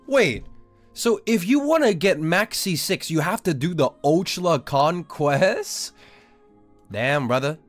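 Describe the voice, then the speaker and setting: A man's voice: on the high side, expressive, takes it slowly. Young guy w/ a socal accent streaming games, jokey, swears a lot, faint music behind. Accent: Southern Californian. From Australia. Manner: joking, swears freely, reads chat aloud. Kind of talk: casual gaming livestream chat.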